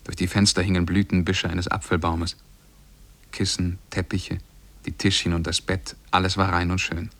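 An actor playing a lovestruck, shy young man who tells his tale in measured, poetic German radio drama style. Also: sits low, flat delivery, a bit bright. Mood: calm recounting. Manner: measured, poetic, careful diction.

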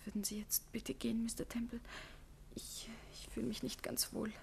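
An actress playing a nervous, evasive young woman in a 1950s German radio drama, hesitant and defensive, sometimes dropping to a breathy whisper. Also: on the high side, flat delivery, moderate pace. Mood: frightened, defensive.

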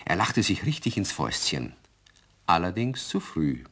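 Husky, animated male storyteller. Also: sits low, moderate pace. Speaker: narrator. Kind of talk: scripted storytelling narration for a radio play.

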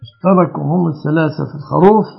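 A man's voice: fairly high pitch, rapid-fire, creaky, husky, muffled recording. A man, a religious scholar, answering callers' questions in formal speech and setting out rulings point by point. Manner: formal classical Arabic, lays out rulings point by point. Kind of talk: call-in fatwa answers.

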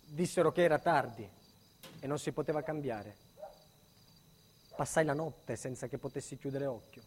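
An actor playing a frightened, indignant protected witness in an intense theatrical monologue that moves between hushed and agitated. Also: male voice, medium pitch, moderate pace, a little hiss or hum, natural voice. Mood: hushed, brooding. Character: frightened, indignant male protected witness recounting his ordeal.

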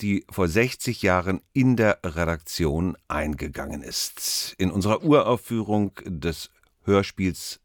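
A man working as a radio presenter, reading a scripted introduction in deliberate, short phrases. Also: lowish voice, dry recording, clean studio sound.

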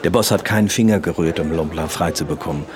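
Deep, grave company man played by an actor. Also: quick pace, lightly acted. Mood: concerned. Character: serious, concerned male company employee reporting trouble. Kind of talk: radio drama acting. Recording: lively crowd chatter behind. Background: café chatter.

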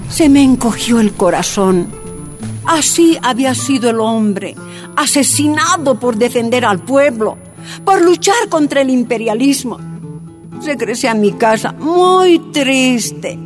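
Bolivian miner wife in radio drama, high voice, talks slow, heartfelt, music behind her. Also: lively intonation. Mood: sad.

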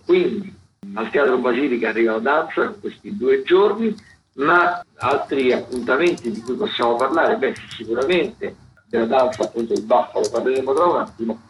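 A man hosting a radio arts programme, talking through theatre listings in a semi-improvised way, often searching for words and repeating himself. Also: medium pitch. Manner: hesitant, searching for words with repetitions. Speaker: radio host presenting a theatre events roundup. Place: radio studio. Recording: dull lo-fi radio sound, faint noise.

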